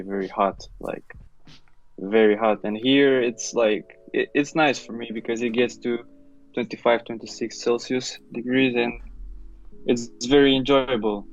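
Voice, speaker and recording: A young man from Romania, co-hosting a casual live stream, relaxed and chuckling now and then. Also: lowish voice, measured pace. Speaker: co-host. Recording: dull lo-fi stream audio with faint music.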